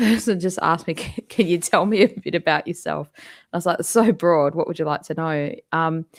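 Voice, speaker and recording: Western Australian woman, musician hosting a live fan chat from home, slightly low voice, loose and laughing, lots of fillers. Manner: chatty and rambling, laughs often, many ums. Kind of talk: casual live-stream chat. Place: home, indoors. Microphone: desktop microphone at a computer.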